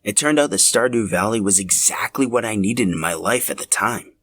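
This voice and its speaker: Low-voiced man hosting a podcast. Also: animated, brisk, fairly bright tone. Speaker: podcast host. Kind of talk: scripted podcast narration.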